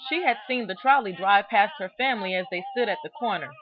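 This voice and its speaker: A woman reading a children's biography aloud in an expressive, deliberate storytelling manner, stressing key words. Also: medium pitch, over music, low-quality recording. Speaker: storybook reader.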